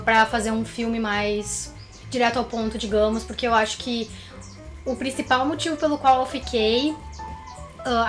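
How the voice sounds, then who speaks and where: A woman's voice: a little high, fairly bright tone. A Brazilian woman co-hosting a conversational film review, explaining her opinions. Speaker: co-host of a film review show. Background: background music.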